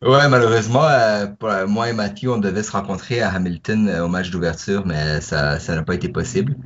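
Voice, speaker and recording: A man with a very low voice co-hosts a podcast. He explains things casually and fills his pauses with lots of hesitations. The audio sounds dull and lo-fi. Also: fairly bright tone, slight background noise. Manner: frequent fillers, explanatory. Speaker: podcast co-host. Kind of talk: casual chat.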